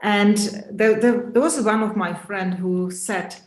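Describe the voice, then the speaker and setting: A woman's voice: mid-range voice, steady tone, measured pace, reverberant. A woman who runs a business, giving firm advice as a guest on an online panel, with nonnative phrasing. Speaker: business owner, guest speaker.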